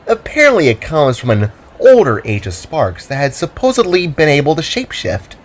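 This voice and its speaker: A man's voice: on the high side, wildly swooping pitch, moderate pace, voice pushed up. A man putting on a bubbly alien pop star girl. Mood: cheerful. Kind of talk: audio drama reading.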